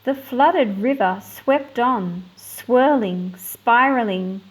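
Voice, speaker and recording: A woman with an Australian accent presenting a picture book for children, explaining things in simple, clear phrases. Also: a little high, slow, rough recording. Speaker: read-aloud host for children.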